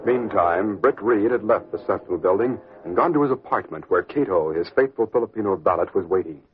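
A man's voice: on the low side, animated, brisk, a little hiss or hum. A man narrating an adventure serial in a dramatic 1940s radio style. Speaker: announcer and narrator. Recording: tinny narrow old radio recording.

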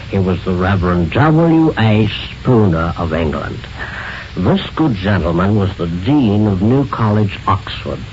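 A man presenting a scripted short feature in old radio style. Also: deep voice. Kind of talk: scripted narration, 1940s-50s radio. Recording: muffled, hissy old radio recording.

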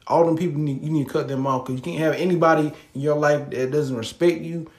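Man, earnest, advising, slightly high voice, roomy echo. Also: fairly even intonation, quick pace, a little mellow. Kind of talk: casual group chat.

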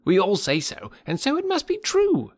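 A shrill, vain band of jungle monkeys, put on by a male narrator, squealing their own praises in a high, strained voice. The sound is dry and close. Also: huge pitch swings, normal speed, dry recording, exaggerated voice. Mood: boastful. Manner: shrill boastful shouting. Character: chattering boastful monkey mob, shrill and vain.

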